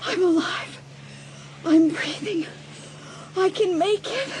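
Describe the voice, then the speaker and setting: A woman's voice: very high voice, slow, noisy background. A woman playing a desperate, terrified teenage girl in a dramatised audiobook, breathless and crying out. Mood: desperate, defiant. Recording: loud music under the voice. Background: loud dramatic music.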